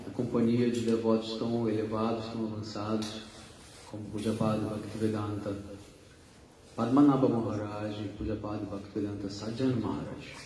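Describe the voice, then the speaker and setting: A man's voice: on the low side, warm tone. A man introducing guest speakers at a religious gathering, rambling and correcting himself, with many fillers. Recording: echoey hall, distant mic, faint hum.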